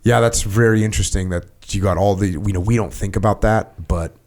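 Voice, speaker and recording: The low-pitched American man across the table on a long-form military podcast, steering the conversation. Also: fairly even intonation, fairly quick, darkish tone. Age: middle-aged. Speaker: podcast host. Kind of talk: casual conversation, war reminiscing with some reading aloud.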